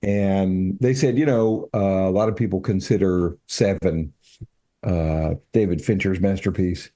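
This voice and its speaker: Deep, mellow-voiced older man chatting. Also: fairly even intonation. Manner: halting, with many fillers and restarts. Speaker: podcast co-host. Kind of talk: casual chat.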